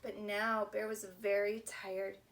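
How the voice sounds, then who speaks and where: A woman's voice: mid-range voice, little pitch movement, slow pace, fairly bright tone. A woman leading a children's story time, reading expressively in simple phrases and asking young listeners questions. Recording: distant mic with faint background noise.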